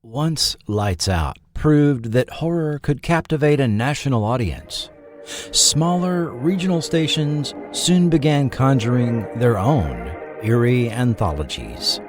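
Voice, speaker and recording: A man reading a scripted documentary narration as a podcast narrator, in a measured, dramatic storytelling manner. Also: mid-range voice, big pitch swings, over music.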